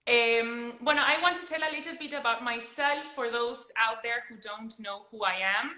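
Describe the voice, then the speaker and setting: A woman's voice: fairly high pitch, moderate pace, bright, forceful tone. A woman from Argentina with an Argentine accent, a drama teacher co-hosting an upbeat casual chat over a video call. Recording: roomy video call audio.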